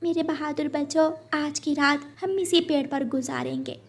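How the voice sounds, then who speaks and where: Woman as mother bird, cartoon, high pitch, motherly, earnest, protective, worried. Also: fairly even intonation, normal speed, creaky. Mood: worried, tender. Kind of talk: children's cartoon voice acting.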